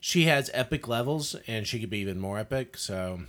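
A man's voice: mid-range voice, expressive, a little fast, fairly bright tone. A man on a podcast panel discussing films casually, rambling a little and feeling his way through his points.

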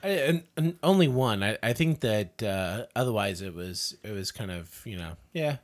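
Animated, contrarian male podcast co-host. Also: on the low side, moderate pace. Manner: contrarian, wry, bantering. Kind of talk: casual chat, film opinion.

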